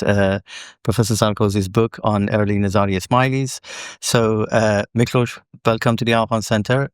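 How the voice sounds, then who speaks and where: A man hosting a formal academic interview, speaking in a measured, polite way and putting questions to a guest. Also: fairly low pitch, clean tone, no room sound, studio quality.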